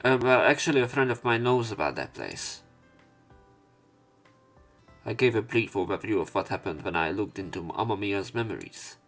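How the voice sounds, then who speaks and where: Low-voiced man reading game story aloud. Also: lively intonation, moderate pace, long pauses, fairly bright tone, soft background music. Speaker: let's player. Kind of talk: reading visual novel text aloud with commentary.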